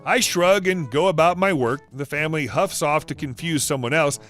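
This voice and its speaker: Male story narrator, high voice, even matter-of-fact read. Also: normal speed, soft background music. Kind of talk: scripted story reading.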